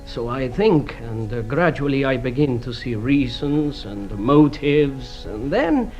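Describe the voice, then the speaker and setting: An actor playing an indignant, scheming foreigner in a radio drama, stiff, self-righteous and defensive, on a dull recording. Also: male voice, mid-range voice, expressive, slow pace, a little mellow, faint music behind. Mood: insistent accusation. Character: indignant, scheming foreign male accuser and blackmailer.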